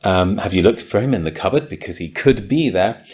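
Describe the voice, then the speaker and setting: Man explaining grammar, slightly low voice. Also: expressive, a little fast, a little mellow. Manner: thoughtful, enthusiastic, many ums and likes. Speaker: podcast host and English teacher. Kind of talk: casual explanatory podcast talk.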